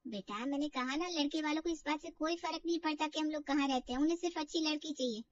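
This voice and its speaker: Coaxing middle-aged mother a female storyteller acting, high voice, reassuring, persuasive, dull audio. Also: fast, distant mic, natural voice.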